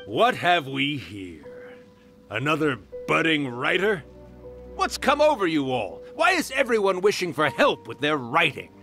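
A playful male spirit in a video game, hamming it up as a villain with slow, grand delivery. His bright voice swings widely in pitch, with faint music underneath. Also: vocal fry, full character voice. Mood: amused. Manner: grand, drawn-out villain theatrics. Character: theatrical male spirit author, mischievous and playful.

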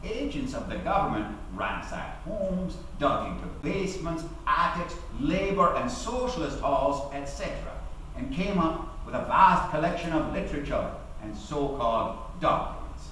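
An actor playing a defiant labour activist in a theatrical dramatic reading, a middle-aged man with emphatic, oratorical, deliberate phrasing. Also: a little high, animated, fairly bright tone. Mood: grave, measured. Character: defiant labour activist recounting his sedition trial. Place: large hall, at a lectern on stage. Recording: echoey hall, distant mic, faint noise.

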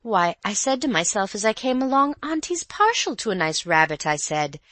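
A woman's voice: mid-range voice, very animated, normal speed, a bit bright, dry recording, voice pushed up. A woman voicing a gossipy, indignant young working-class parlourmaid in an audiobook story.

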